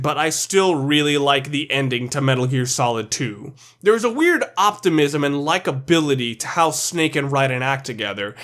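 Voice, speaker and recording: A man's voice: a little high, fairly bright tone. A man delivering scripted, opinionated video commentary as a countdown reviewer, blunt and sprinkled with swearing.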